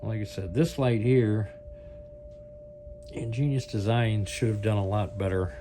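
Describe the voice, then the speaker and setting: A man's voice: on the low side, measured pace. A man giving a casual, unscripted product demonstration, rambling and thinking aloud as he goes. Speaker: product review video host. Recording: clear steady hum and background noise.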